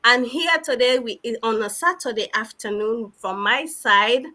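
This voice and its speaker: A woman from Sierra Leone speaks to a live online audience in a bright, fairly high voice. She is effusive and enthusiastic, often repeating a phrase to drive it home. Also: lively intonation, unhurried. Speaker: online business mentor, guest speaker. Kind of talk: enthusiastic livestream talk.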